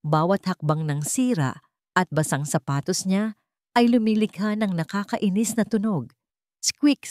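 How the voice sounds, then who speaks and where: A woman's voice: deep voice, darkish tone, no echo, studio quality. A woman reading a scripted dramatic story as its narrator.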